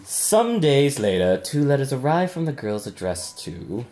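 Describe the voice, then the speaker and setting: A man's voice: medium pitch, sing-song, a little slow, a little mellow. A man co-hosting a let's play, reading game narration aloud and joking casually. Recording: game music behind the voice. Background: music.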